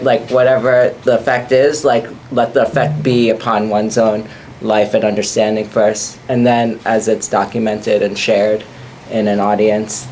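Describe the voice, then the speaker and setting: A man speaking as an artist on a panel, halting and filling his sentences with "like". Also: fairly low pitch, a little mellow, slight background noise, low-quality recording. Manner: halting, full of "like" fillers. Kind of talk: panel discussion.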